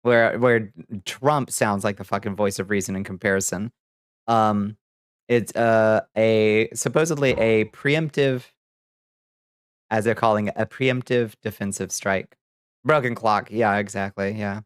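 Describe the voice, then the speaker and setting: A man hosting a livestream, chatting casually with viewers and commenting on news in a halting, profane, muttering way. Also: lowish voice, steady tone, clean tone, dry recording, clean studio sound. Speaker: livestream host reading viewer chat.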